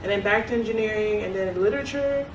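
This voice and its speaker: A woman's voice: mid-range voice, fast talker. Chatty young woman in an echoey room. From South Korea. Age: young adult. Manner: chatty, laughs often, many restarts. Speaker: interviewee, club secretary. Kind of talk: casual interview. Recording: echoey room, distant mic, noisy.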